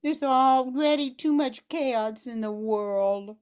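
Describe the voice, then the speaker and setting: An older woman voicing a mischievous young boy in an amateur radio play, eager yet deliberate. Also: high voice, darkish tone, clear, resonant tone. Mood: chastened. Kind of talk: amateur old-time radio play acting.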